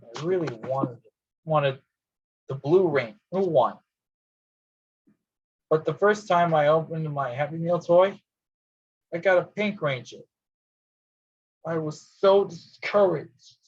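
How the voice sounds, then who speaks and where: Husky man performing a comic monologue. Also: on the high side, dry recording. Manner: halting, theatrical delivery. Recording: dull lo-fi sound.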